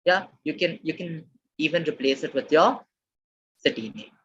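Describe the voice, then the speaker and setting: A woman from Maharashtra, teaching a live online Japanese lesson. She repeats key phrases slowly and keeps checking in with the chat. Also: sits low, expressive, a little hiss or hum. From Maharashtra, India. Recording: dull lo-fi webinar audio.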